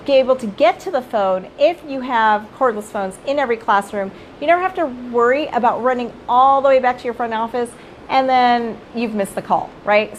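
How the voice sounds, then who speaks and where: A middle-aged woman who directs a child care center, giving casual live-streamed advice. She is hoarse from losing her voice and keeps checking in with viewers as "you guys.". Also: a little high, slight background noise, low-quality recording. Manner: chatty, addresses viewers as "you guys", often tags "right?".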